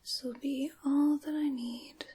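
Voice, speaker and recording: A woman voicing a sinister, mock-courteous dark witch for an ASMR roleplay, in a breathy whisper with theatrical, formal wording. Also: sits high, fairly even intonation. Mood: scheming, hushed. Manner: slow, theatrical, formal old-fashioned wording.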